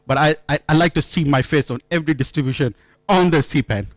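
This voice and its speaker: Man giving a halting conference talk. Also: on the high side, no room sound, studio quality. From India. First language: not English. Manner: halting, frequent restarts and self-corrections, storytelling. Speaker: conference speaker. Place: conference room.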